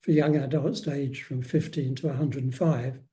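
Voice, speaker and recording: Male guest author, mellow timbre, mid pitch, conversational, halting and self-interrupting delivery. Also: steady tone. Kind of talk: casual interview chat.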